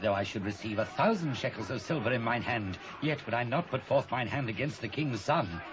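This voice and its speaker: A man's voice: medium pitch, lively intonation, brisk, a crowd in the background, close to an everyday voice. A British man playing an earnest, principled common soldier in a dramatised scripture reading in archaic English. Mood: earnest, defiant. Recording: muffled cassette transfer, clear background noise.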